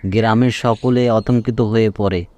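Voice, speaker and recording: A man's voice: on the low side, steady tone, moderate pace, warm tone. A man reading scripted narration for a children's cartoon story.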